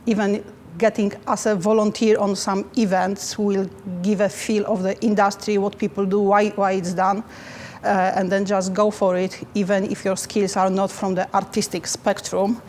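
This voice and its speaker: A woman with a Polish accent answers interview questions in a careful, halting way. Her voice stays level and even, with little rise or fall. A faint hum of background noise sits under her speech. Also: mid-range voice. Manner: careful, halting, non-native phrasing. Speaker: finance manager.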